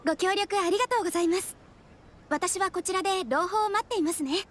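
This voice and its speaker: A woman playing a composed young guild receptionist in an anime game, speaking in a formal, courteous, measured way. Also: extremely high pitch, fairly bright tone, slight background noise.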